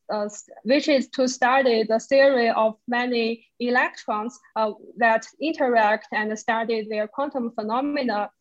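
A woman from China speaks over a video call, her slightly high voice coming through dull and lo-fi. She talks haltingly, leaning on fillers as she gathers her words. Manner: hesitant, many fillers. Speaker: physics postdoc.

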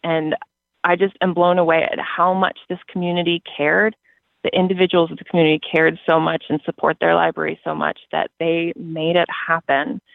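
A man's voice: fairly high pitch, monotone, moderate pace, gravelly, dry recording, narrow, tinny audio. An American man who works for a library system, answering interview questions conversationally.

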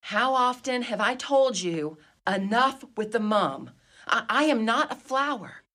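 A woman's voice: a little high, lively intonation, measured pace, fairly bright tone. A woman playing a dignified, imperious wealthy mistress of an old mansion in a comedy radio play, with a grand, formal, theatrical way of talking. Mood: scolding, imperious.

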